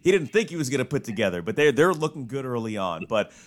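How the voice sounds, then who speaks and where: A man's voice: mid-range voice, big pitch swings, fast talker. A man working as a sports studio host, talking through basketball analysis in a casual, conversational way.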